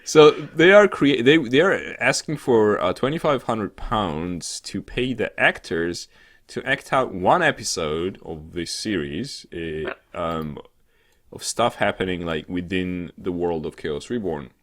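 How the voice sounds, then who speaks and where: A man co-hosting a gaming show in casual, unscripted chat, rambling and halting, with a joking way of talking. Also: low voice, expressive, fairly bright tone. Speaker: co-host of a weekly gaming news show.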